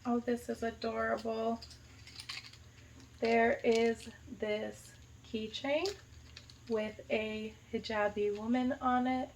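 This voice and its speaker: A young woman from the United States opens a gift box in a relaxed vlog. Her voice is a little high and she talks slowly, lingering on her delighted reactions. She gushes over every item, tossing in plenty of casual "like". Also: a little hiss or hum, rough recording. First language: not Arabic. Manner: gushing, enthusiastic, lots of "like" and "so cute". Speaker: vlogger, high school teacher.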